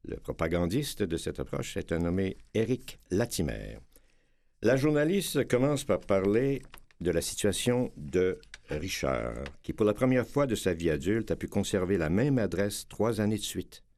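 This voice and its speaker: A man hosting a radio magazine show and reading articles aloud in a measured, deliberate way. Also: low-pitched, very animated, darkish tone.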